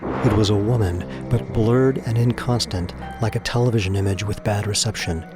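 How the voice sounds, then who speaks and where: A man's voice: lowish voice, moderate pace. A man narrating a scripted audio drama in a theatrical, suspenseful storytelling manner. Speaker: narrator and voice actor. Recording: music behind the voice.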